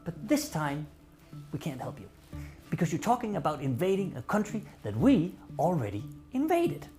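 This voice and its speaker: A man's voice: on the high side, huge pitch swings, moderate pace, warm tone, faint music behind. A man from Denmark, a native Danish speaker with a Danish accent, delivering a scripted comedic address to the camera in a dry, sarcastic, mock-polite way. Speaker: satirical presenter.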